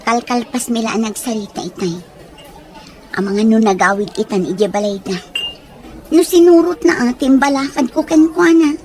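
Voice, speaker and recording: A woman's voice: fairly high pitch, moderate pace, darkish tone, some chatter behind, slight background noise, natural voice. A woman playing an outspoken, concerned best friend in an audio soap drama, pressing for answers emphatically. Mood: guarded, confiding. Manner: emphatic, probing.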